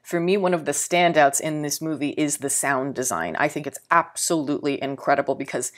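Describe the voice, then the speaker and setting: A woman's voice: low voice, a little fast. A woman with a Texan accent reviewing a film in a casual, rambling way, thinking aloud with fillers and repeated words. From Texas.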